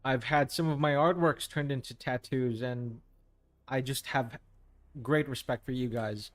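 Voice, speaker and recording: A man's voice: medium pitch, steady tone, a little slow, dry recording. A man hosting a live art stream, reading out chat messages and answering them casually, with some hesitant restarts. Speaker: livestream host. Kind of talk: casual chat with viewers while painting.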